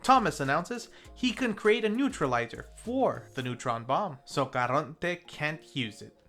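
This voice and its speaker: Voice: medium pitch, lively intonation, unhurried, fairly bright tone, soft background music. A film review host narrating a scripted recap in a casual, playful storytelling manner.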